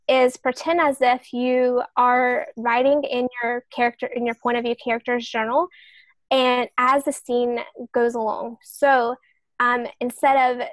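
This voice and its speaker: A woman's voice: a little high, no room sound, low-quality recording. A woman author on a podcast panel, explaining writing tips in an earnest, explanatory way.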